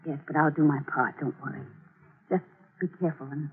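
A woman's voice: deep voice, expressive, a little fast, slightly rough, full character voice. A woman in a 1940s radio drama playing a nervous young accomplice, anxious and breathless. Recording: tinny old radio broadcast with hiss.

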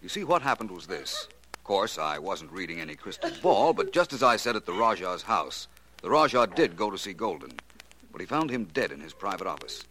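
An actor playing a shrewd, self-assured private detective in a theatrical, deliberate 1940s radio drama style. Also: male voice, deep voice, sing-song, sharp tone, heavily acted. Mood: explaining calmly. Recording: noisy old 1940s radio recording.

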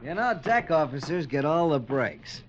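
Breezy wisecracking sailor, swingy voice, old radio drama, dull hissy recording. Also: male voice, a little high, big pitch swings, normal speed. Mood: joking. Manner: breezy, ribbing. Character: wry, joking male radio officer aboard ship. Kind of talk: 1940s radio drama acting.

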